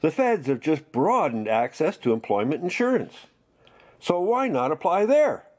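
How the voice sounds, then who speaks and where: A man playing an excitable radio announcer who shouts out good news. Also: on the high side, moderate pace. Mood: gleeful. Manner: gleeful, exclamatory. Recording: music underneath.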